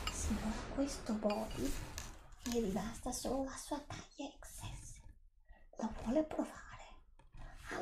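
A middle-aged woman, whispering breathily in an ASMR roleplay as a gentle, attentive clothing shop assistant advising a customer. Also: on the high side, reverberant, rough recording. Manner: gentle, attentive sales patter to an imagined customer.

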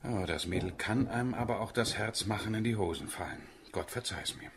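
An actor playing a hot-tempered, gruff middle-aged father in a German radio drama. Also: lowish voice, animated, brisk, noisy background, lightly acted. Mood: grumbling. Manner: gruff, scolding.

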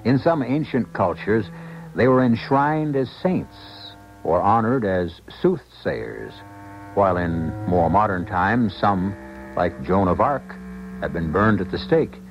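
A man's voice: on the low side, very animated, takes it slowly, a little hiss or hum. A man hosting a 1970s American radio mystery, reading scripted narration in a theatrical, portentous manner. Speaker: radio drama host. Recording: muffled old radio broadcast, music behind.

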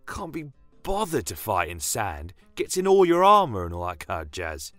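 A man's voice: on the low side, huge pitch swings, moderate pace, a bit bright, no echo, soft background music. A man voicing a blunt, joking soldier with a colloquial, matey manner in a fantasy audio story. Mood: comic grumbling.

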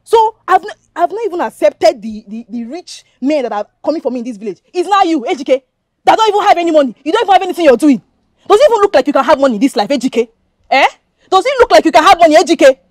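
A woman's voice: high voice, very animated, fast, a little mellow, no room sound, clean studio sound. A woman acting a money-minded, scornful young village woman in a film drama, scolding and dismissive, with a Nigerian Igbo accent. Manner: scolding, dismissive, repeats herself. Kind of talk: Nollywood-style film acting.

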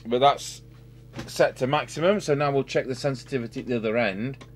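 A man's voice: mid-range voice, fairly quick. A man giving an unscripted hands-on repair commentary as a technician, thinking aloud while he works. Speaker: radio repair technician and host. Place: indoor electronics workbench. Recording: noisy workbench recording with hum and radio hiss.

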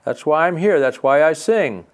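A man's voice: on the high side, expressive, normal speed, pitched above the performer's own voice, lightly acted. An older man voicing a cheerful, generous male brook, jolly and sing-song.

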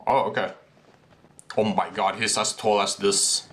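A young man in a headset commenting casually on a game he is playing, excitable and slangy, laughing often. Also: fairly low pitch, unhurried, background noise. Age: young adult. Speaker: gaming streamer. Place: small room at a desk.

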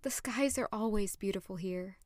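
A woman's voice: medium pitch, normal speed, background noise, close to an everyday voice. A woman playing a polite, wistful young noblewoman in an audio drama. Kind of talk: audio drama acting with introspective narration.

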